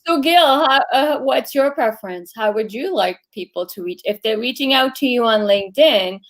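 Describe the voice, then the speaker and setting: Higher-voiced woman full of fillers. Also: expressive, moderate pace. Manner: lots of "like" and "um", asks questions. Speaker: co-host. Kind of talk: casual panel chat.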